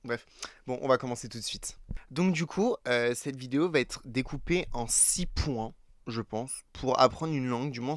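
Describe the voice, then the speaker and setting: Teen male vlogger from France, chatty and self-correcting, dry close sound, mid pitch. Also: dry recording. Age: teens. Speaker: YouTuber. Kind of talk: casual talk to camera.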